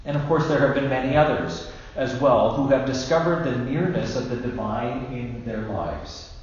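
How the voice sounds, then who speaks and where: A man in his fifties, a bishop giving a measured, deliberate sermon with emphatic pauses. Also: mid-range voice. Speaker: bishop preaching. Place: indoors in a church at a lectern. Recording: very echoey church, dull sound, faint noise.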